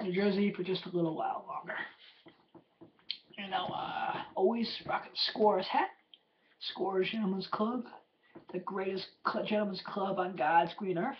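A hoarse man hosting his podcast from a room at home, talking in a loose, chatty way full of fillers and plugs. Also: high-pitched, highs cut off, a little hiss or hum. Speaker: podcast host. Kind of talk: casual rambling podcast monologue.

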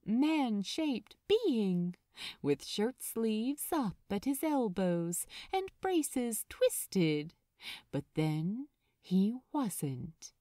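A woman narrating a story in a dramatic, deliberate way, with emphatic pauses. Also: on the high side, warm tone, no room sound, studio quality. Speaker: audiobook narrator of fan fiction. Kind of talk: expressive scripted story narration.

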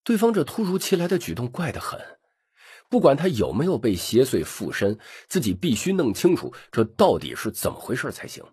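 A man's voice: mid-range voice, wildly swooping pitch, a little fast, a little mellow, breathy edge, no echo, studio quality. A man narrating a scripted, dramatic audiobook story.

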